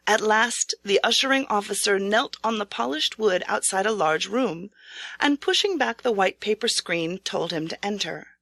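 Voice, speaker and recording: A woman reading a scripted storybook tale as narrator, in a measured, formal, dramatic manner. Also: medium pitch, lively intonation, vocal fry, fairly bright tone, dry recording. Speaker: audiobook narrator.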